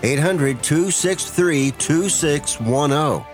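A man slowly and deliberately reading out a phone number for a radio ad. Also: fairly high pitch, soft background music. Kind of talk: slow, deliberate number read.

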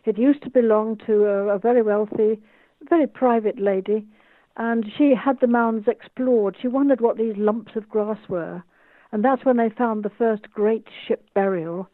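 A woman's voice: on the high side, moderate pace, no echo. A woman in her eighties from the United Kingdom, an author and tour guide speaking enthusiastically in an interview over a video call. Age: 80s. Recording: tinny phone-like call line.

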